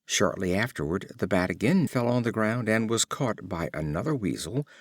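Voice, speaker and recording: Animated low-voiced man reading fables. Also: no echo, studio quality. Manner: storytelling with measured, expressive phrasing. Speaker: audiobook reader. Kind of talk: scripted fable narration.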